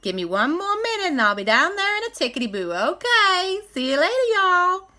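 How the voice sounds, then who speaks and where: A woman's voice: very high-pitched, big pitch swings, fairly quick, bright, forceful tone, pitched above the performer's own voice, exaggerated voice. A woman voicing a cheerful Southern woman with a Nashville accent, drawling y'all. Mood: cheery.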